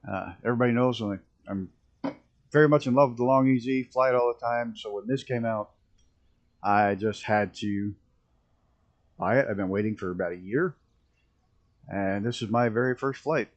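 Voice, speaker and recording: A man with a rough early-morning voice, chatting casually and rambling as he streams a flight simulator. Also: mid-range voice, moderate pace, pauses often, highs cut off. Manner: rambling, self-interrupting, chuckling at mishaps. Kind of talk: casual live-stream commentary.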